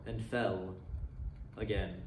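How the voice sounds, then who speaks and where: A man reading a story aloud in a dramatic, expressive style with measured phrasing. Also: low voice, gravelly, a little hiss or hum. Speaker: library volunteer reader and host. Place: indoor room decorated for Christmas, seated. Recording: roomy echo, distant microphone.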